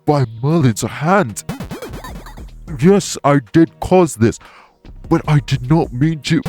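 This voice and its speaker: An actor playing a courteous, remorseful immortal elven king in an audio roleplay, speaking in formal, measured phrases. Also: mid-range voice, a little mellow, lightly acted. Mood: alarmed, apologetic. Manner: formal, measured, stately wording. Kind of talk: scripted audio roleplay acting.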